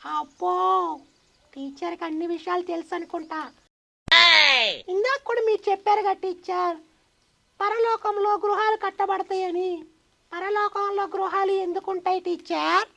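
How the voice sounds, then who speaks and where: Puppet show kid: woman playing cheeky, naive little boy, extremely high creaky squeak, pestering questions, muffled lo-fi sound. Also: fairly even intonation, unhurried, fairly bright tone, full character voice. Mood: curious, teasing.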